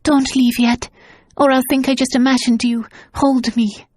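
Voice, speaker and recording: Anxious young noblewoman, husky soft voice, dry read, as a woman narrator plays her. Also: high voice, little pitch movement, unhurried, no room sound, pitched above the performer's own voice.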